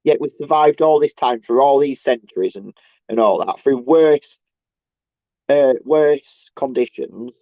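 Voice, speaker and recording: A man with a croaky, creaking voice worn by a cold and tiredness talks off the cuff to his viewers. His tone is mellow and dark, and he often stumbles, repeats himself and swears as he rants. The sound is dull and lo-fi, with little room around it. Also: medium pitch, animated, no room sound. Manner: halting, repetitive, searching for words. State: sore throat from a cold. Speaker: solo video host. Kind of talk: casual rambling monologue to camera.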